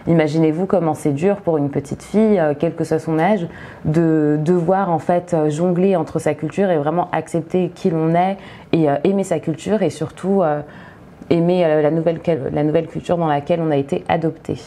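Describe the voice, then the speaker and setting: Low, mellow-voiced woman reviewing a book. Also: steady tone. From Egypt. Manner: halting, many fillers and restarts. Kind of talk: book review monologue with read-out synopsis.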